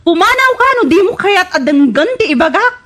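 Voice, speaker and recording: A woman's voice: very high voice, very animated, fairly quick, a bit bright, full character voice. A woman playing a suspicious, hurt live-in partner in an Ilocano radio comedy drama, questioning and plaintive.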